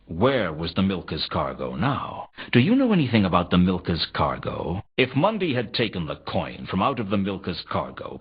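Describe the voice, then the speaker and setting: A man playing a cynical private detective in a fantasy noir video game, with a husky voice and a deadpan, world-weary delivery. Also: on the low side, full character voice. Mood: probing. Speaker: game protagonist and narrator. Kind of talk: hardboiled noir game narration. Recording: dull lo-fi game audio.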